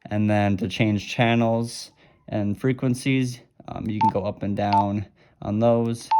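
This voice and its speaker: A man with a fairly low voice walks through a product demonstration. He explains each step simply and informally, in an easygoing way. Clear noise runs behind his home recording. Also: fairly even intonation, takes it slowly. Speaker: product reviewer.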